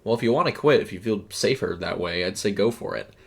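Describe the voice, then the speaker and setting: Male narrator as young male night-shift worker, considerate, conversational, slightly halting, audio story. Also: on the low side, expressive, vocal fry, breathy edge, full character voice. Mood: reassuring. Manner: casual, hesitant, conversational.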